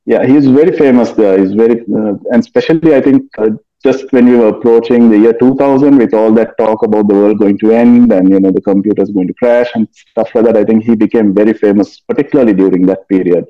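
A middle-aged man answering interview questions in a measured, hesitant way with many fillers. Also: lowish voice, fairly even intonation, dull audio. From Sri Lanka. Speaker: guest, finance executive and author.

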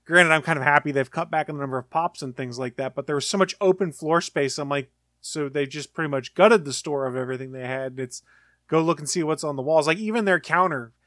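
A man's voice: on the high side, fast talker, a bit bright, dry recording. Chatty male podcast co-host, dry sound. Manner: chatty, long rambling explanations. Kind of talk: casual chat.

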